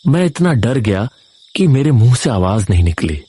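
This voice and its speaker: A man's voice: medium pitch, very animated, normal speed, warm tone, husky. A man narrating a scripted first-person horror story in a dramatic, suspenseful manner.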